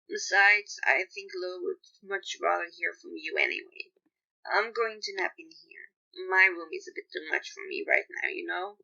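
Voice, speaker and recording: Weary, protective young man, read by a woman: blunt, serious, bright mid-pitched voice, lo-fi story recording. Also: very animated, normal speed, gravelly, exaggerated voice. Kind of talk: character dialogue in a fan fiction reading. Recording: dull, lo-fi home recording.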